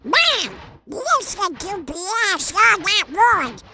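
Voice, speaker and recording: A woman voicing a plucky, excitable male cartoon duck uncle in a comic dub, with a squeaky, creaky voice. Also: extremely slow, background noise, heavily acted. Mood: amazed.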